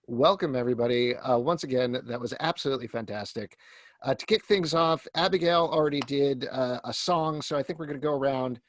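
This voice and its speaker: A man's voice: mid-range voice, a little fast, slight background noise. A man casually hosting a fan panel over a video call, talking informally. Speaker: host and panel moderator.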